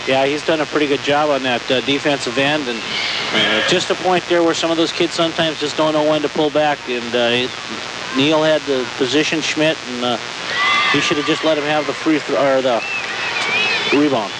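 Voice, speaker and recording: A male colour commentator with a slightly high voice talks over a noisy, hissy old radio broadcast. He analyses basketball plays in a conversational way, often pausing mid-thought. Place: courtside in an indoor sports dome.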